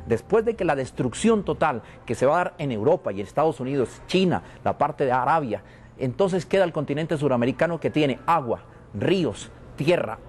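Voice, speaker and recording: A man's voice: fairly high pitch, darkish tone. A man from Venezuela, interviewed about prophecy, speaking in a solemn, repetitive, preaching cadence. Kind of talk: spiritual and prophetic talk.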